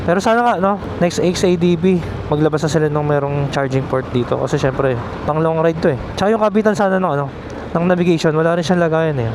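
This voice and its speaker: A man's voice: fairly high pitch, quick pace. A Filipino man chatting casually as he reviews motorcycles and answers viewer questions, mixing in English terms. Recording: loud outdoor vehicle noise behind voice.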